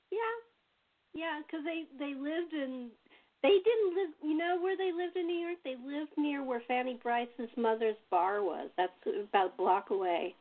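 A woman's voice: high-pitched, measured pace. An American woman from Los Angeles hosting a casual chat in a rambling, repetitive way over tinny phone-like audio. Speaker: host and playwright.

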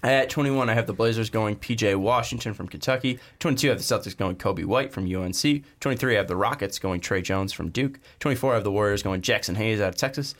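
A man hosting a casual sports podcast, talking with frequent fillers and pauses. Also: lowish voice. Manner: relaxed, hesitant, frequent fillers. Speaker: podcast host.

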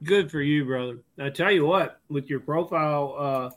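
A man's voice: a little high, normal speed. A man co-hosting a casual interview, talking in a folksy, bantering way. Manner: folksy, warm-hearted banter. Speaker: podcast co-host, league commissioner.

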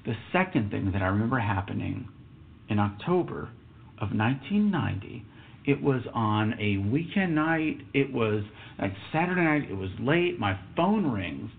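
A man's voice: on the low side, very animated, moderate pace, vocal fry, slight background noise. A man in his fifties from Texas hosting a solo podcast, telling a story in a reflective, emphatic way and dropping to a whisper for asides. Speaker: podcast host. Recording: tinny phone-like sound.

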